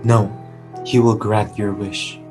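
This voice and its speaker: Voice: medium pitch, flat delivery, over music, lightly acted. An actor playing a composed, stern new ruler, speaking formally and firmly in a classical tragedy reading. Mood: firm, restrained. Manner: formal, measured, commanding.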